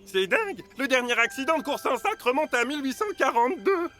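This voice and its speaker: An actor playing a quirky cartoon rescue expert who speaks of absurd dangers in a blithe, matter-of-fact, morbid way. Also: male voice, extremely high pitch, big pitch swings, brisk, over music.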